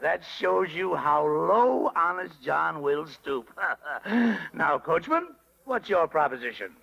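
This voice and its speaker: A man playing a sly, hammy fox swindler with a raspy voice, pompous and falsely kind, in a 1930s radio drama. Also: a little high, big pitch swings, takes it slowly, a bit bright, muffled recording, exaggerated voice. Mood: gloating. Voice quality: gravelly, raspy. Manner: theatrical, pompous, cackling. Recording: old 1930s radio recording.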